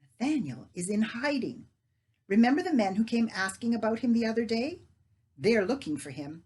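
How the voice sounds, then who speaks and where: A woman's voice: fairly high pitch, expressive, moderate pace, darkish tone, slightly rough, voice pushed up. A woman voicing a stern, serious middle-aged male baker who speaks in a grave, firm way.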